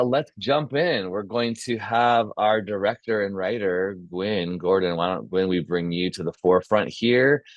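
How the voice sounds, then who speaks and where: A man's voice: sits low, no room sound, dull audio. A man casually hosting an online video meeting, informal and chatty with a promotional bent. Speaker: host and founder of a film community.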